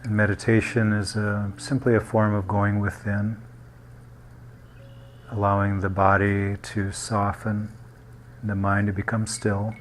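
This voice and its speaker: Male meditation guide, low voice, little pitch movement, gentle, unhurried phrasing, long pauses. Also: completely flat delivery, a little hiss or hum.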